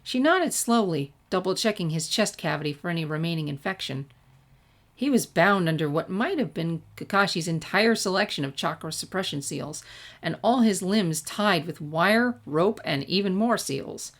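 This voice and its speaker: A woman's voice: on the low side, expressive, normal speed. A woman reading a scripted story aloud as an audiobook-style narrator. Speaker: audiobook-style reader of fan fiction.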